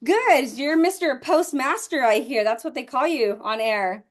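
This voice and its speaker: A woman's voice: high-pitched, animated, unhurried. A woman of thirty-one hosting a casual, chatty interview podcast in a slangy, enthusiastic manner. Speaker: podcast host.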